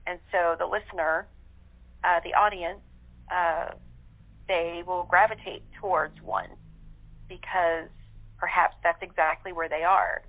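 A woman guest in a reflective discussion, speaking earnestly and philosophically with deliberate phrasing. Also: on the low side. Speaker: radio-play cast member, guest. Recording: narrow telephone line.

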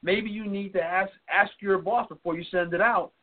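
A man's voice: high voice, steady tone, moderate pace. A man co-hosting a casual advice talk, chatty and checking in with "okay?" as he goes. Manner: conversational, tags lines with "okay?" and "you know". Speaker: co-host. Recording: phone-like narrow audio.

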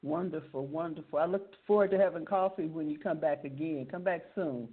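A woman's voice: sits low, brisk, slightly rough. A woman hosting a radio interview, upbeat and encouraging, often repeating her words. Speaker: radio show host. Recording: tinny phone-like sound.

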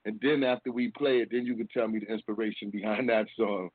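A man's voice: on the low side, little pitch movement, fairly quick, no echo. Male American hip hop radio interviewer, casual and slangy, dry, tinny band-limited audio. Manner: slangy, repeats phrases. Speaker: radio show host. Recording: narrow phone-like radio sound, dry.

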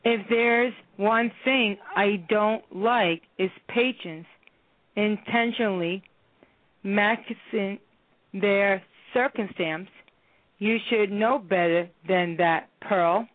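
A woman acting a stern female counselor and therapist in a radio play, speaking slowly and firmly. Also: mid-range voice, no room sound, natural voice. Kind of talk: amateur radio play reading. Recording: tinny phone-line radio broadcast.

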